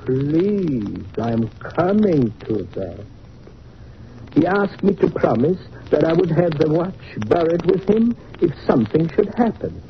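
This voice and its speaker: An actor playing a formal, measured doctor in 1940s radio style, explaining things deliberately. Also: male voice, fairly high pitch, very animated, full character voice. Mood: solemn, patient. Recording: muffled, noisy old radio recording.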